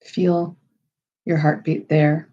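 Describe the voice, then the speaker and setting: Woman meditation guide, lowish and mellow, unhurried phrasing, slightly muffled recording. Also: level tone. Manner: unhurried, measured phrasing with pauses. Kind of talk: slow, soothing guided meditation.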